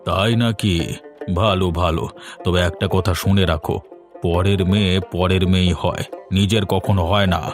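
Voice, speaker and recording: Man acting a grumbling low-voiced village husband. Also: fairly even intonation, normal speed, over music, close to an everyday voice. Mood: sulky. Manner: grumbling, dismissive. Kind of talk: Bengali fairy-tale cartoon dialogue.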